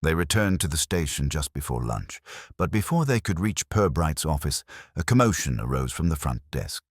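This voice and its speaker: A man narrating a mystery audiobook in a measured, literary storytelling manner. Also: extremely low pitch, very animated, no echo, clean studio sound.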